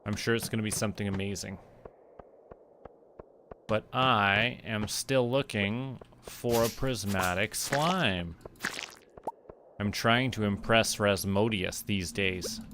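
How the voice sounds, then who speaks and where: A man from Canada giving casual, relaxed gameplay commentary on a livestream, playfully muttering to himself and repeating phrases. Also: fairly low pitch, slow pace, pauses often, noisy background. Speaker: game livestreamer. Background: game music.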